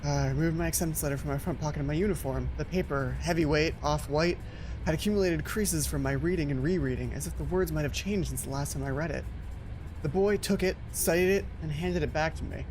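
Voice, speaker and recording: A man's voice: a little high, fast, darkish tone, a little hiss or hum. A man hosting a gaming video, reading game text aloud and breaking off into casual asides, sometimes stumbling over the words. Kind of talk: let's play commentary mixed with reading visual novel text aloud.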